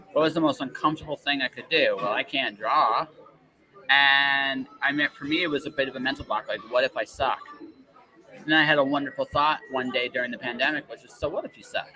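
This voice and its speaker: A man's voice: medium pitch, sharp tone, low-quality recording. A man hosting a casual podcast interview in a rambling, emphatic way, often checking in with the listener. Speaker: podcast host.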